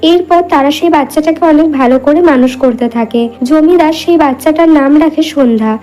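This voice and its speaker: A woman's voice: sits high, a little fast, mellow, faint music behind, low-quality recording. A woman narrating a scripted cartoon moral story as a storyteller.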